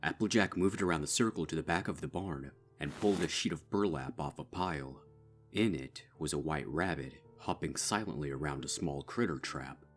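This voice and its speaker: A man giving grave, measured narration of a dark fan story. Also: rumbling low, soft background music. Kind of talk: dark fanfic audiobook narration.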